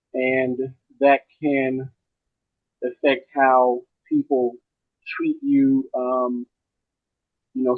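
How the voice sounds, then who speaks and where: A man in his forties from Chicago, a panel guest talking in a relaxed way with pauses and fillers. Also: medium pitch. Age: 40s. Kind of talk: casual discussion. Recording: tinny phone line.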